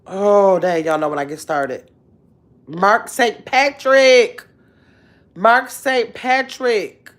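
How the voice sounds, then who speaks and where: Chatty woman in her fifties livestreaming. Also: medium pitch. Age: 50s. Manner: chatty, animated, drawn-out exclamations. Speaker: livestream host. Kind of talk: casual livestream chat reading comments. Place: bedroom at home, indoors. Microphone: phone held close at arm's length.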